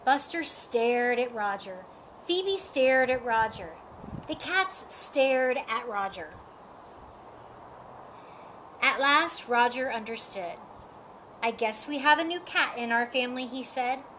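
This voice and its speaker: A middle-aged woman reads a children's storybook aloud in a sunny yard, her high voice swinging widely. She takes her time over each line. Faint outdoor noise sits behind her. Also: poor audio. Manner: slow, lively, lifts her voice for animal lines. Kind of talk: reading a picture book aloud. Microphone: camera a few feet away.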